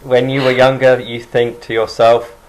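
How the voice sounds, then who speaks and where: Male English tutor, mid pitch, encouraging, rephrases the student. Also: flat delivery, normal speed, poor audio. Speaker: English teacher. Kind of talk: conversation lesson.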